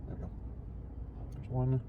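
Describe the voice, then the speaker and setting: A man's voice: a little slow, narrow, tinny audio, background noise. A man giving a casual, unscripted how-to, talking through each step as he works with his hands. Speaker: repair technician giving a tutorial.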